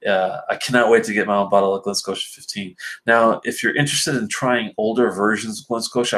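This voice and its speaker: A man hosting a casual live stream about whisky, reading and answering viewer comments in a rambling, filler-heavy way, sounding stuffy and sinusy from allergies. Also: fairly low pitch. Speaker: whisky reviewer host.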